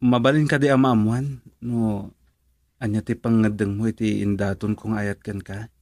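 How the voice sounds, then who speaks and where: A man's voice: fairly low pitch, fairly even intonation, moderate pace, a little mellow, no echo. A male actor with a Filipino accent playing an earnest young man in love, hesitant and pleading, in a romantic radio drama. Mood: hesitant, tender.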